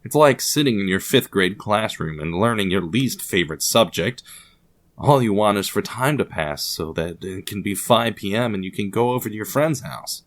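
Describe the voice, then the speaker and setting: A man's voice: on the low side, animated, moderate pace, a bit bright. A man narrating scripted fiction in a wry, ominous, formal manner, addressing the listener directly.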